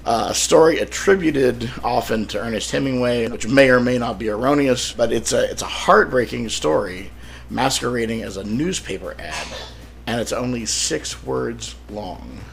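Male podcast host, creaky and slightly sick-sounding, casual and wryly self-deprecating. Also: medium pitch, moderate pace, slight background noise. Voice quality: slightly rough, throat-clearing. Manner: wry, self-deprecating joking. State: sick, rough throat. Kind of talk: casual solo podcast monologue.

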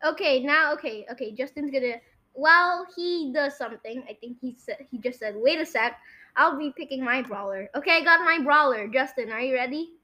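Young female podcast host, high bright voice, casual game-show chatter. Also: brisk. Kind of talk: casual chat and guessing game.

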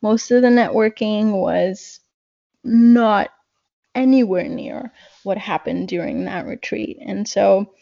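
Slow-spoken woman from Pakistan, reflective. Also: medium pitch, gravelly, mellow, highs cut off. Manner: slow, reflective, with many fillers and restarts. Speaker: guest. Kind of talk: conversational interview.

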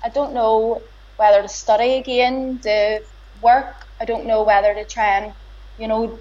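A woman's voice: fairly high pitch, level tone, a little slow, dark tone. A woman with a broad Belfast accent, talking as a guest in a casual, hesitant conversational way. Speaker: guest, boxer's partner. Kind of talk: casual interview. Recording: slightly dull lo-fi sound.

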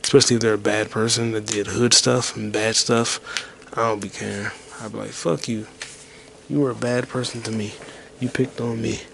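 Low-key man mumbling in a flat drone. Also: normal speed, vocal fry, slight background noise. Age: 20s. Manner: whispered rambling. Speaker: podcast host. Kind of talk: rambling solo podcast monologue.

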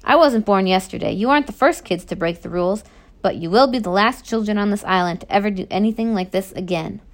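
A woman voicing a stern, angry middle-aged male prison warden in a storybook, lecturing children forcefully. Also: medium pitch, fairly quick, lightly acted.

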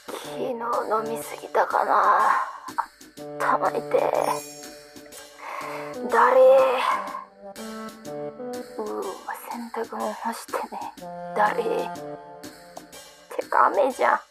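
A woman's voice: fairly high pitch, takes it slowly, vocal fry, long pauses. An actress playing a young female video streamer off camera, hungover and slovenly, grumbling in a weary drawl in a radio drama. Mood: listless, grumbling. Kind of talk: Japanese radio drama acting. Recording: music behind the voice.

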